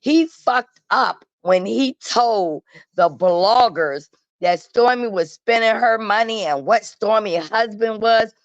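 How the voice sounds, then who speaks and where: A woman's voice: mid-range voice, slow, no echo. A woman giving casual gossip commentary as a guest, emphatic and matter-of-fact, with colloquial phrasing. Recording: dull, lo-fi call audio.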